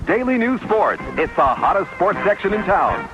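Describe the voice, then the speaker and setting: A man's voice: high-pitched, very animated, moderate pace. A man reading a 1980s radio advertisement as a commercial announcer. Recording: dull old AM radio recording. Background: loud music.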